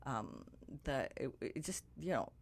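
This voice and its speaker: A woman hosting a podcast who talks in a reflective, musing way, her pitch moving up and down with plenty of life. Her voice is dry and close to the mic. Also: lively intonation, measured pace, no room sound. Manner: reflective, drifting softer as she muses. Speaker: podcast host. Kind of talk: casual interview conversation.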